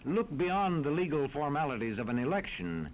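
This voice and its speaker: An American man narrating a scripted 1940s educational film in a formal, authoritative, measured manner. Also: fairly high pitch, very animated. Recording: dull 1940s film soundtrack, faint noise.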